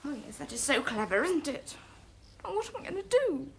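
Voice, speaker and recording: Woman playing a highish young lady, dramatic swoops, declamatory, proud, romantic, stage comedy, noisy recording. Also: lively intonation, fairly quick, fairly bright tone. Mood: distressed. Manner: declamatory, noble posturing, emotional swings.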